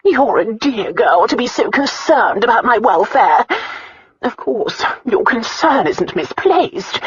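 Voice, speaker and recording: A woman's voice: on the high side, a bit bright, breathy edge, no echo, highs cut off, pitched above the performer's own voice, full character voice. A woman voicing a greedy, self-pitying older countess in an audiobook, wailing and whining in indignation. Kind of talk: audiobook character acting.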